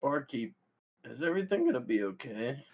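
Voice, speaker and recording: A man playing an excitable young stoner at a party in a comedy film table read. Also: medium pitch, moderate pace. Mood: uneasy. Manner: slangy.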